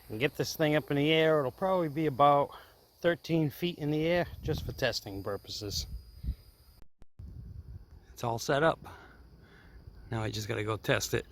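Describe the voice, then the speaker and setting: A man's voice: mid-range voice, creaky. A man giving a casual hands-on demonstration as a hobbyist host, unscripted and thinking aloud as he goes. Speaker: hobbyist video host testing radio antennas.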